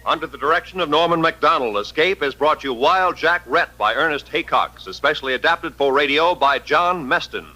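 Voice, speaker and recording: An American man announcing formally in the crisp style of fifties radio credits. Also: on the high side, lively intonation, fairly quick, hardly any pauses, sharp tone, soft background music, poor audio. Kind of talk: 1950s radio closing credits.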